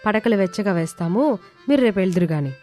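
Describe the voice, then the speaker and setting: A woman's voice: medium pitch, animated, fast talker, dark tone, lightly acted. A woman voicing a kindly but wary village housewife in a cartoon moral story, homely and earnest. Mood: hospitable. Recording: music under the voice.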